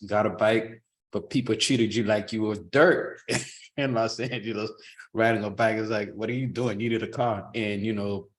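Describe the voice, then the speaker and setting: A middle-aged man from Haiti and Boston, casually telling a personal story as a webinar panelist. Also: lowish voice. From born in Haiti, grew up in Boston. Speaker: urban cycling project leader.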